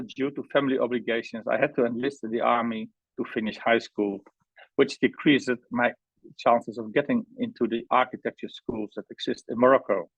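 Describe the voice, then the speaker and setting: Husky man presenting, dull webinar sound. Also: mid-range voice, no room sound. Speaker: housing organisation representative presenting at a webinar. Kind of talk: formal prepared presentation, read aloud. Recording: dull, band-limited webinar audio.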